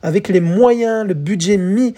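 A woman's voice: mid-range voice, unhurried, dark tone. A woman hosting a podcast in a conversational explainer style, chatty, correcting herself and addressing listeners directly. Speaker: podcast host presenting songs.

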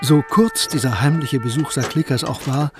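Male radio play narrator, faint music. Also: mid-range voice. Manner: measured, storytelling delivery.

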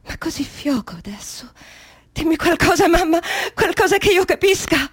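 An actress performing an intense radio drama monologue as an anguished girl being born, breathy and husky, moving between whispers and cries. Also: a little high, no echo, heavily acted. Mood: tender, pleading. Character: anguished unborn girl being born, commanding then pleading.

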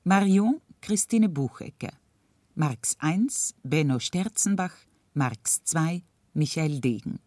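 A woman's voice: deep voice, lively intonation, slow, warm tone, no room sound, studio quality. A woman radio announcer reading scripted broadcast credits in a formal, orderly way. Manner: formal, clearly separated names.

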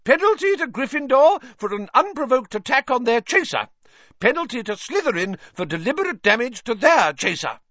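A man voicing a stern female referee and teacher who barks sharp commands. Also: extremely high pitch, big pitch swings, normal speed, dry recording, highs cut off, voice pushed up, exaggerated voice.

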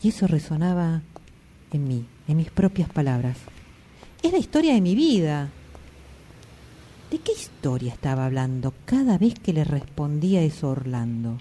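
Actress playing anxious Argentine woman, Buenos Aires accent, introspective radio drama monologue, lively swooping intonation, confiding, faint background noise. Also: deep voice, moderate pace. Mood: brooding. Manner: confiding, dramatic, reflective with colloquial swearing. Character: anxious, introspective woman haunted by always running late.

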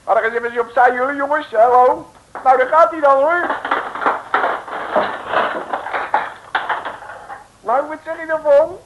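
A man's voice: extremely high pitch, steady tone, fairly quick, background noise. A man playing a brash, impatient convict safecracker who talks in chummy, bossy underworld slang in a comic radio serial. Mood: boastful. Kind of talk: 1950s Dutch comic radio serial acting. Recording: dull, lo-fi old radio recording.

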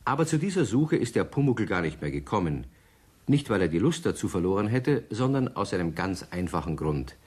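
A man playing the easygoing narrator of a German children's radio story, with a husky voice. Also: sits low, very animated, fairly quick, darkish tone, full character voice. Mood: storytelling.

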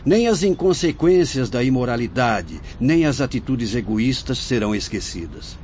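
A man's voice: medium pitch, animated, moderate pace, darkish tone, lightly acted. A man acting in a radio drama as a grave, fatherly Brazilian spirit director who instructs his apprentices in a solemn, declamatory way. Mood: solemn, sorrowful. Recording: muffled lo-fi audio with faint noise.

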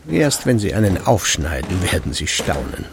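An actor playing a brooding retired husband in his sixties who secretly drinks, muttering an evasive, halting monologue in a gravelly radio-drama voice, often sinking to near whispers. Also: deep voice, big pitch swings, heavy background noise, heavily acted. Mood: bitter, brooding.

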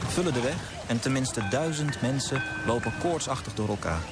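An actor playing an earnest young clerk and writer who narrates in a literary radio drama. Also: male voice, mid-range voice, expressive, normal speed, loud music behind the voice, lightly acted. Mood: measured storytelling.

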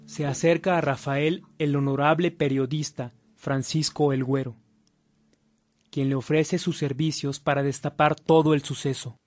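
Higher-pitched male radio drama narrator. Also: fairly even intonation, moderate pace, darkish tone, soft background music.

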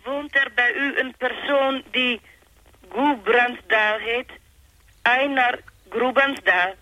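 A woman's voice: a little high, takes it slowly, sharp tone, no echo, poor audio. An actress playing a matter-of-fact, slightly hesitant woman on the telephone in a radio play, speaking in clipped phrases. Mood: hesitant, apologetic.

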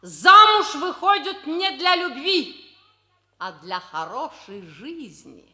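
Актриса средних лет играет властную, расчётливую мать, которая говорит напористо и назидательно, в манере советской радиокомедии шестидесятых. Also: female voice, sits high, sing-song, slow pace, pushed and bright, heavily acted. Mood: stern, insistent. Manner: imperious, emphatic, scolding. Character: domineering, scheming mother pushing her daughter to marry for money. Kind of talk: 1960s Soviet radio comedy acting.

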